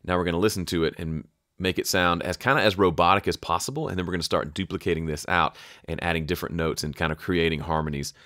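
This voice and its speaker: A man with a low voice talks through a software tutorial in a dry, close studio sound. He is casual and conversational, often thinking aloud and interrupting himself as he explains. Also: quick pace, no echo. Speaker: audio production tutorial host. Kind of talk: casual screen-share tutorial talk.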